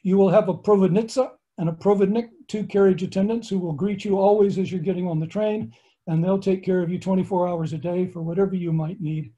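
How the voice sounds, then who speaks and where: A man's voice: high voice, fairly even intonation, a little fast, dark tone, highs cut off. An older American man, an astronomer, giving a friendly slideshow lecture from his home study over a video call. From United States.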